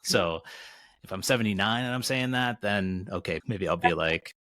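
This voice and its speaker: Deep-voiced man in his forties. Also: normal speed, no echo. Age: 40s. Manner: relaxed, candid, swears casually. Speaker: podcast co-host. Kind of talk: casual podcast conversation.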